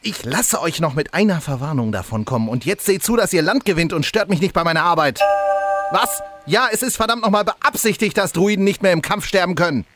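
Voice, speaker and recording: A man's voice: high-pitched, expressive, brisk, over music. A man voicing a grumpy, impatient male game master who scolds players in an amateur audio drama parody. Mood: exasperated.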